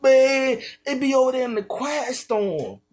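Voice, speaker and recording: A man's voice: extremely high pitch, animated, a little slow, a little mellow, faint music behind. A man streaming, reacting to a video with slangy, incredulous casual commentary.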